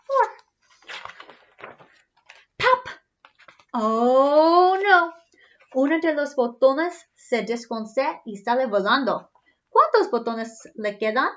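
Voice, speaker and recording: Animated high-voiced woman storyteller. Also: takes it slowly, pauses often. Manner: animated, teacherly, spelling things out for young children. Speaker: read-aloud storyteller for children. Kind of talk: bilingual picture-book read-aloud with singsong refrains. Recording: slightly dull, lo-fi sound.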